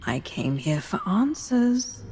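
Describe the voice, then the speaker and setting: A woman's voice: mid-range voice, sing-song, takes it slowly. A woman playing a cold, imperious Sith warlord, curt, commanding and menacing, in a video game. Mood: cold menace. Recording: music behind the voice.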